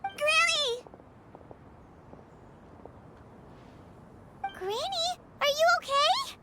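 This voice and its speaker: Casting: small, sweet, worried granddaughter for a game; very high voice, slow delivery. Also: female voice, expressive, heavy background noise, heavily acted. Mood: alarmed, worried. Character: devoted little granddaughter, young girl, worried and loving. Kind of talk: video game voice acting.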